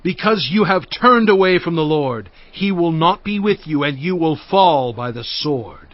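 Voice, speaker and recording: Stern prophet, high for a man, emphatic, urgent, reproving, scripture drama, dry slightly dull recording. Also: lively intonation, unhurried, no room sound. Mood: urgent warning. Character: Moses, stern urgent male prophet warning his people.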